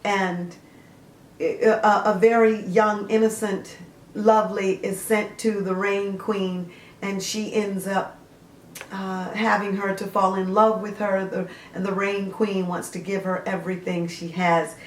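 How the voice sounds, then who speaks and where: A woman's voice: medium pitch, little pitch movement, measured pace, a little hiss or hum. A woman hosting a book series, gushing about stories and reading excerpts aloud with frequent fillers. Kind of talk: casual book review with read-aloud excerpts. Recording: roomy echo.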